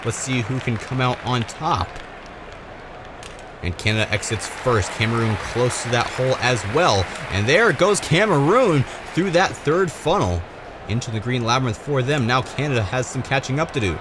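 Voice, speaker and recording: A male commentator calls a race play by play in a medium-pitched voice. A loud crowd and heavy background noise run behind him throughout. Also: animated, moderate pace. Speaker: sports commentator for marble races. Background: loud crowd and ambient noise.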